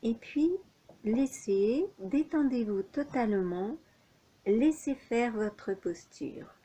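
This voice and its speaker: A woman with a fairly high, soft-edged and mellow voice guides a relaxation meditation. She speaks extremely slowly, in short measured instructions separated by pauses, as a yoga nidra teacher does. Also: expressive, slight background noise, low-quality recording. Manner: unhurried, measured phrases with pauses.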